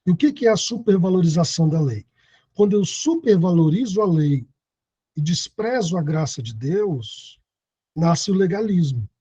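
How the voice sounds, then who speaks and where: A man's voice: sits high, animated, normal speed, dark tone, slightly rough, no room sound. A man in his thirties teaching an online Bible study lesson, reading scripture aloud and explaining it. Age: 30s. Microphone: video call.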